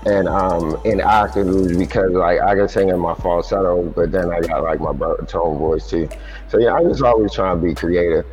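A man speaks as a guest singer on a casual talk show, telling his story in a relaxed, easygoing way. His voice sits fairly low, and faint music plays behind him. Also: fairly quick. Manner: relaxed, laid-back storytelling. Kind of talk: casual interview.

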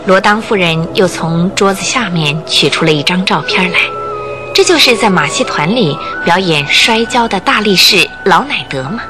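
A woman's voice: lowish voice, animated, moderate pace, over music. A woman narrator telling a scripted radio drama story in a vivid, emphatic way.

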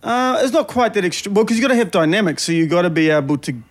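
A man co-hosting a chat podcast in loud, brash, sweary banter. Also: high voice, steady tone, quick pace. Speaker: podcast co-host. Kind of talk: casual banter.

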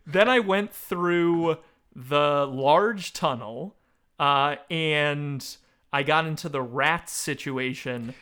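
Bright-voiced man joking through a casual chat. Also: a little high, extremely slow, clean tone. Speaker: podcast co-host. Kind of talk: casual book discussion with read-aloud passages.

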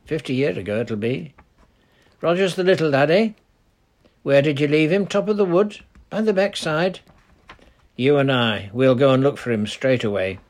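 A man's voice: a little high, moderate pace, a little mellow, voice pushed up, lightly acted. A man voicing a kindly old charcoal burner with a northern English dialect, homely and plain-spoken. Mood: friendly.

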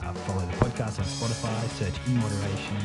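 A man's voice: on the low side, steady tone, fast, over loud music. An Australian man hosting a podcast informally, with a dry, understated delivery. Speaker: podcast host. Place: under a bed sheet at home.

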